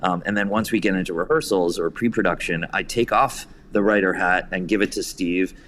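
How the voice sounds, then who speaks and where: A man's voice: deep voice, fairly even intonation, a little fast, a bit bright, a little hiss or hum. An American man talking reflectively as an interview guest about his creative work. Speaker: podcast guest, theater writer and director.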